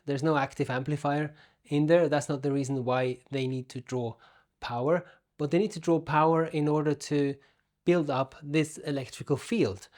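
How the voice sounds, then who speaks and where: Guy with a slightly high voice reviewing hifi gear, explains it step by step, deliberate with pauses. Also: darkish tone. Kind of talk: explanatory product review talk. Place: room at home.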